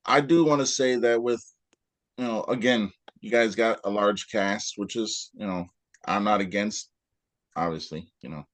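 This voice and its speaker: A man's voice: fairly low pitch, a little slow, dry recording. Rambling male podcast host. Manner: rambling, many fillers. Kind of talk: casual interview.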